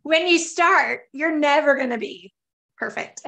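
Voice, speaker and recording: Woman, forties, podcast host: high, scratchy, candid, on a video-call webcam. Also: measured pace, creaky. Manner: lively, candid, laughs easily. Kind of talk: conversational interview.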